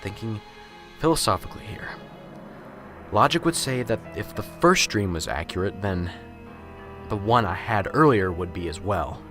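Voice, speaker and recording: A man's voice: on the low side, lively intonation, moderate pace, over music, natural voice. A man playing a nervous, unsure professor in an amateur radio drama, muttering anxiously to himself and stammering.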